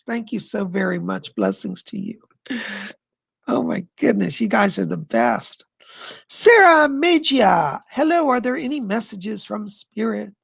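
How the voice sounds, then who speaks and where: Man, live-stream psychic, very gravelly, husky, effusive and chatty. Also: sits high, a bit bright, dry recording. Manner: effusive, chatty, calls viewers darling; pauses to listen to spirits mid-sentence. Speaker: psychic medium hosting live readings. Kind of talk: live stream chat, reading viewer questions.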